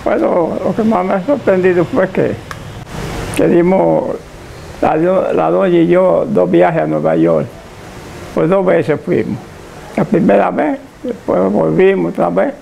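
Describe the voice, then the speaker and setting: An elderly man with a Dominican accent and a gravelly voice, slowly recalling his life in a living-room interview, often repeating and restarting his words. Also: high-pitched, warm tone, slight background noise, poor audio. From Dominican Republic. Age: older. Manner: halting, repeats and restarts words, short assents. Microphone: lapel mic.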